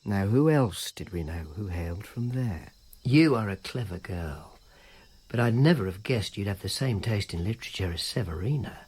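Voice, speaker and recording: A man's voice: fairly low pitch, animated, normal speed, a little mellow, breathy edge. An actor playing a weary, sardonic male informer in ancient Rome, narrating with dry understatement in a radio drama.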